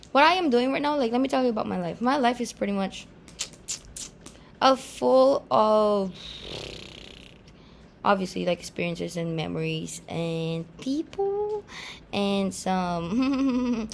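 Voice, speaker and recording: A young woman in her twenties, from the Philippines, rambles to camera about her life. She is self-mocking and easily sidetracked, with a gravelly fry in her voice. A faint hum of room noise sits behind her. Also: a little high. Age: 20s. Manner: chatty, distractible, self-mocking, filler-heavy. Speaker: vlogger. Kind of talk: casual rambling chat to camera.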